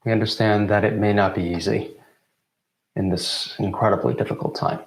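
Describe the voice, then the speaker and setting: Echoey young man, low voice. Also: fairly even intonation, moderate pace. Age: 20s. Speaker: co-founder of student theatre group introducing an event. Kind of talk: formal welcome speech. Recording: roomy, echoing sound.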